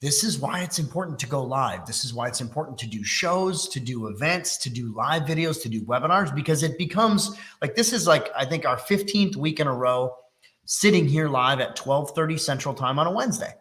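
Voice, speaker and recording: A man's voice: mid-range voice, expressive, brisk, a bit bright. A middle-aged man from Texas, a little congested, hosting a live business webinar in a chatty, teasing, self-deprecating way. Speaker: workshop host. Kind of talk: casual live webinar talk.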